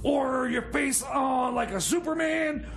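A man's voice: very high voice, slow, a bit bright, shouting, full character voice. A man playing a pushy, scheming comic sidekick mechanic in radio comedy banter, stammering, nagging and repeating himself. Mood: excited scheming. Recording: clear background noise.

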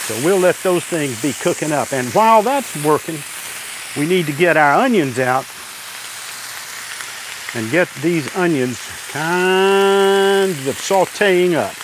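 A man's voice: on the high side, animated, takes it slowly, background noise. An older man hosting a cooking demonstration, talking in an easygoing, homespun way while he works at a griddle on an outdoor deck. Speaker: cooking show host. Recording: outdoor recording with loud griddle sizzle.

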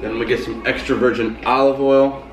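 A man's voice: mid-range voice, level tone, fairly quick, music in the background, poor audio. A young man in his twenties, a fitness vlogger, talking casually through his cooking step by step, recorded on a camera mic in a small home kitchen. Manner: plain step-by-step explaining. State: stuffed up with a cold. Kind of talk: casual how-to vlog narration.

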